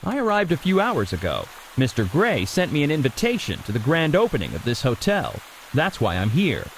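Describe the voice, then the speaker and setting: An actor playing a stubborn, defensive hotel guest in a mystery video game. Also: male voice, mid-range voice, huge pitch swings, a little fast, slight background noise, poor audio. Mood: affable.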